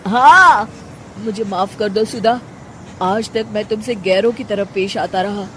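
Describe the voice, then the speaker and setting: A woman's voice: medium pitch, a little slow, bright, forceful tone, slight background noise. A woman voicing a childish, pampered young man in a cartoon family story. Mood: delighted, sorry.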